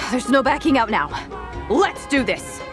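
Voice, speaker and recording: A woman's voice: sits high, heavily acted. A woman playing a brave but nervous young warrior in a video game story, dramatic and resolute. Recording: game music behind.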